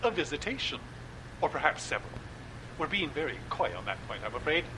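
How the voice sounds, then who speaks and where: A man's voice: fairly high pitch, big pitch swings, brisk, background noise. An actor playing a genial male radio horror-drama producer, talking with relish about spooky stories. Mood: wry. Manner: theatrical, relishing spooky talk.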